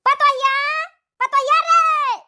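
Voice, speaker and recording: A woman's voice: extremely high pitch, steady tone, slow pace, bright, forceful tone, heavily acted. A woman voicing a bossy older village mother-in-law in an Awadhi-accented comedy cartoon. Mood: calling out.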